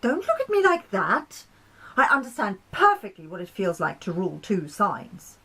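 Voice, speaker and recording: A woman voicing a resentful, jealous male planet in a storybook, insistent and quick to defend himself. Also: medium pitch, sing-song, pushed and bright, slightly rough, full character voice, poor audio. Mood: defensive.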